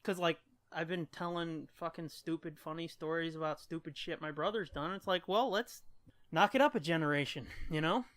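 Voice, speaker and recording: A man's voice: a little high, normal speed, fairly bright tone, no echo. An American man talks like a podcast co-host in casual conversation, swearing freely and joking irreverently as he tells stories. The sound is dry, with very little room to it. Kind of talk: casual chat, storytelling.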